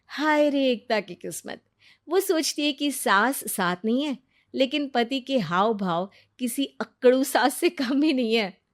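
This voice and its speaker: A woman narrates a cartoon moral tale in a high, lively voice. She tells it dramatically, with mock pity for the heroine. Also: a little slow. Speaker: storyteller.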